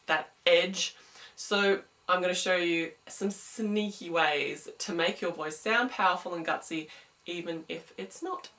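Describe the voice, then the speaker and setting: A young woman with a smooth voice, giving a chatty singing lesson to camera and explaining technique with quick sung examples. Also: medium pitch, sharp tone, recorded from a distance. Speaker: singing teacher.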